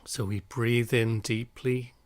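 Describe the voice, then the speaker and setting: A man guiding a relaxation meditation in a calm, soothing, unhurried manner. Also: medium pitch, no room sound. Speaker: meditation guide.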